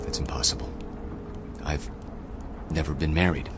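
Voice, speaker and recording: An actor playing a guarded, commanding professor in a radio drama, speaking in a formal, stern, clipped manner. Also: male voice, very deep voice, fairly even intonation, moderate pace. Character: guarded, commanding male history professor hiding his past. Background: music.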